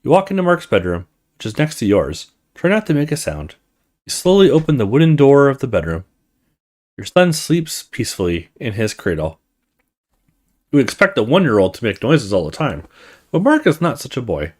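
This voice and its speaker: A man hosts a let's play, reading a horror story game aloud. His pitch moves around a lot as he tells the story. He mixes scripted narration with casual remarks of his own. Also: big pitch swings, normal speed, darkish tone.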